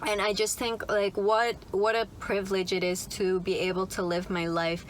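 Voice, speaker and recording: A woman's voice: medium pitch, level tone, moderate pace, slight background noise, low-quality recording. Chatty young woman talking in a car. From Canada. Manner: chatty, upbeat, full of "like" and "right?". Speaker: vlogger. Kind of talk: casual chat to camera. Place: inside a parked car.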